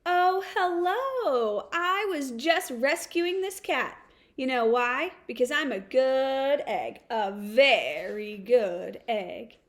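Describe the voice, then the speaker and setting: Female reader as storybook good egg: high-voiced, unhurried, earnest do-gooder, emphatic sing-song delivery. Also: animated, full character voice. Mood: proud, eager. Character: eager, earnest, overworked good egg, storybook narrator-hero. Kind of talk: expressive first-person picture-book read-aloud.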